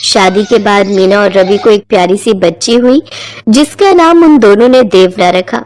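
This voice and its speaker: A woman narrating a cartoon story in a steady storytelling manner. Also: medium pitch, moderate pace. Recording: music underneath.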